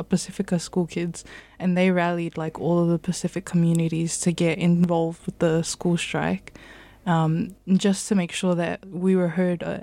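A young New woman chatting as an interview guest. She keeps stopping with "um" and "like" as she talks. Her voice sits lowish and fairly level, with a mellow, dark tone on a dry, close recording. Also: no echo. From New Zealand. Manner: chatty, many fillers like "um" and "like". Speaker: climate activist guest. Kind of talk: casual interview answers.

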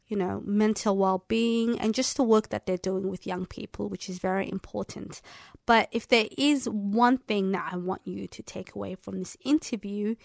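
A woman's voice: medium pitch, vocal fry, no echo. Woman hosting community radio, dry close sound. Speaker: radio host. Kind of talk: scripted radio introduction.

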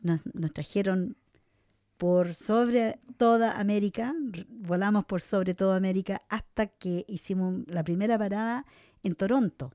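A woman from Chile interviewing a guest, encouraging him and quick to agree with what he says. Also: mid-range voice, mellow, no room sound, studio quality.

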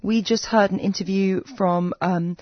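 A woman's voice: mid-range voice, steady tone, unhurried, no echo. A woman hosting a community radio show, casually linking segments with a few fillers. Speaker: radio host. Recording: dry lo-fi radio studio.

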